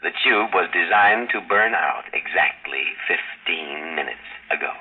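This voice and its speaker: A man's voice: low-pitched, animated, a little slow, slightly rough, phone-quality sound, faint music behind, heavily acted. A man playing a coolly logical, all-knowing machine in 1950s radio science fiction, speaking formally and precisely on a vintage recording. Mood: coldly explaining.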